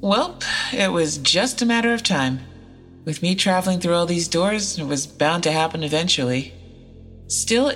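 An actress playing a sardonic, foul-mouthed traveler stranded in an apocalypse, confiding in a comedic audio drama monologue. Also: female voice, on the low side, a bit bright.

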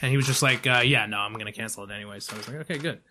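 Bright teasing man, laughs a lot. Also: fairly low pitch, a little hiss or hum. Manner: teasing, laughs often, quick asides. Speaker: comedian. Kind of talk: casual podcast banter.

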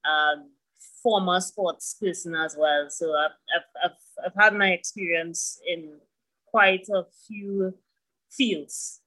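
A woman's voice: fairly low pitch, fairly even intonation, slow pace, sharp tone, low-quality recording. A woman in her thirties, a musician talking thoughtfully about herself as a guest in a casual interview. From Trinidad and Tobago.

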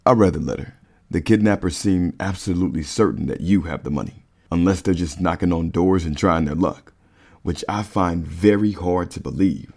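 Deep-voiced actor as a firm FBI agent. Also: male voice, normal speed, a little mellow. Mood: suspicious, pressing. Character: firm, pressing mid-thirties male FBI agent. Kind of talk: audio drama acting.

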